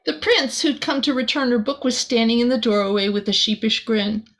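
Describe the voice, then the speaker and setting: A woman with a fairly high voice reads a picture book aloud for a young child. Her delivery is expressive, and she slips in small chatty asides as she goes. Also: moderate pace. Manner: expressive, addressing a young listener. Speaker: storybook reader.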